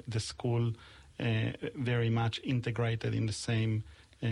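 Guy hosting an interview, gravelly voice, pretty monotone, lots of uhs. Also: on the low side. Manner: halting, with many uhs. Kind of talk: podcast interview.

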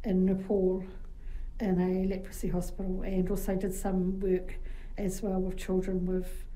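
Kiwi woman chatting as an interview guest, mellow tone, pretty flat delivery, lots of ums. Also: medium pitch, unhurried, rough recording. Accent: New Zealand. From New Zealand. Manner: chatty, anecdotal, frequent fillers. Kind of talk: casual interview storytelling.

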